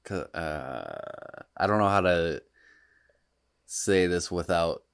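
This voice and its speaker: A man's voice: low-pitched, expressive, slow pace, creaky, smooth, dry recording. A man co-hosting a podcast in casual chat, hesitant and choosing his words carefully. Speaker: podcast co-host.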